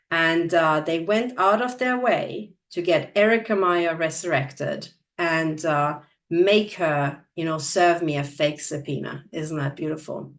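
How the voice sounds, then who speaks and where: A woman's voice: deep voice, recorded from a distance. A woman from Transylvania, a native Hungarian speaker, co-hosting an online chat, talking earnestly with many fillers and restarts. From Transylvania, Romania. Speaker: channel co-host. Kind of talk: conversational video chat.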